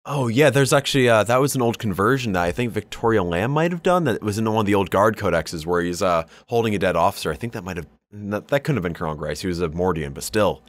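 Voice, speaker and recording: Deep-voiced man in casual podcast banter. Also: animated, quick pace, few pauses, no room sound. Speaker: podcast co-host. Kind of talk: casual chat about tabletop wargame lore.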